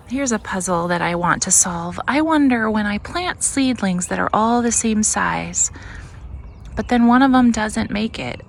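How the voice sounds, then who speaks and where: A woman's voice: medium pitch, gravelly, a little hiss or hum. A woman talking casually outdoors as she hosts a home garden tour, chatting and musing aloud as she looks around. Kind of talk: casual walk-and-talk vlog.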